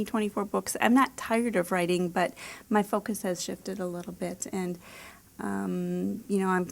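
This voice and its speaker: An American woman in middle age speaks at an average pitch with a noticeably creaky, gravelly edge. She talks conversationally, like an author and editor giving informal interview answers.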